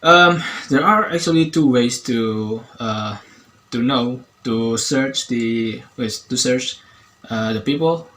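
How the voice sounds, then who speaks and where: A man with an Indonesian accent giving a casual review, speaking haltingly and often rephrasing as he searches for words. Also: mid-range voice, fairly bright tone. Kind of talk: casual app review to camera.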